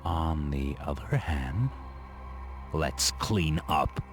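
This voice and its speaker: A man's voice: extremely low pitch, lively intonation, extremely slow, soft background music, full character voice. An actor playing a cynical, world-weary dead gunman in a video game, narrating his own fight through purgatory in a gritty noir manner. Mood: sardonic. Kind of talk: noir inner monologue and game dialogue.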